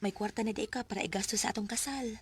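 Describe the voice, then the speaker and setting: A woman playing a gentle, shy young woman in a Bisaya radio drama, speaking softly and hesitantly with a Bisaya accent. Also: mid-range voice, steady tone, fast talker, a little hiss or hum, close to an everyday voice. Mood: coy. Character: Murmur, gentle shy young woman, later a childless wife.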